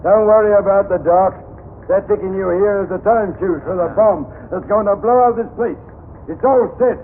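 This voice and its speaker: A man's voice: high voice, normal speed, natural voice. An actor playing a callous, gloating criminal henchman in an old radio drama. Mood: gloating, menacing. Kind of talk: 1940s radio drama acting. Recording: faintly noisy, narrow-band old radio recording.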